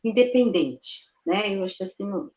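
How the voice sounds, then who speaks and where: An older woman author answers interview questions in a thoughtful, halting way, often restarting words and adding "né". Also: medium pitch, huge pitch swings, gravelly, a little mellow. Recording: roomy echo.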